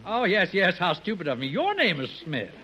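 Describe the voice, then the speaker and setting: An actor playing a busy, absent-minded lawyer in an old radio comedy, brisk, distracted and self-important, on a noisy vintage recording. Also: male voice, high voice, wildly swooping pitch. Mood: flustered, distracted.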